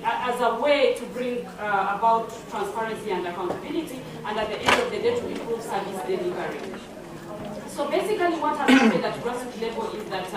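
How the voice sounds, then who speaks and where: A woman from Uganda presents to a conference panel, halting and repeating herself as she goes. Her fairly high voice comes from a distance in a very echoey hall. The audience murmurs behind her. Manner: hesitant, many fillers and repeated words. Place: conference room.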